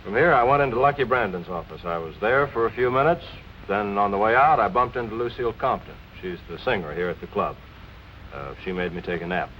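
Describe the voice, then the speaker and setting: Man acting as low-voiced anxious noir murder suspect. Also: a little fast, fairly bright tone, a little hiss or hum, close to an everyday voice, poor audio. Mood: grave. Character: anxious husband suspected of his wife's murder. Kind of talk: 1940s film noir acting.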